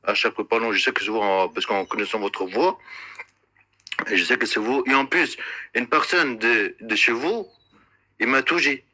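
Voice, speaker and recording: A man making an unscripted, accusatory complaint in an insistent, repetitive and halting way, searching for words. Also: mid-range voice, pushed and bright.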